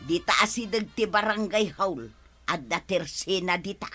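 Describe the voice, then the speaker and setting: A nagging Ilocano auntie in a radio comedy drama. Her voice is bright and swings up and down as she scolds and teases, with faint music. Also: fairly low pitch, animated, moderate pace. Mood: teasing, nagging.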